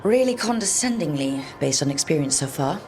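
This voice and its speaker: A woman's voice: sits low, animated, fairly quick, darkish tone. An actress playing an easygoing, wry woman who leads adventurers in a video game, talking casually and dryly. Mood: wry, probing.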